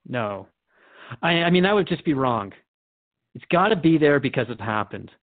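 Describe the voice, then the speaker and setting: A man's voice: medium pitch, very animated, normal speed, no echo. A man playing an earnest, respectful radio host in a drama, questioning in a conversational, hesitant and probing way. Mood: troubled, sincere. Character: earnest, questioning male radio interviewer, respectful but troubled. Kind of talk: radio drama interview acting. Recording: dull lo-fi, dry audio.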